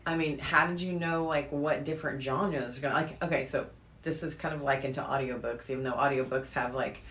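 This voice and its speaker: A woman's voice: extremely low pitch, steady tone, a little fast, fairly bright tone. An American woman chatting casually as a podcast co-host. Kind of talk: casual chat. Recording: roomy echo, clear background noise.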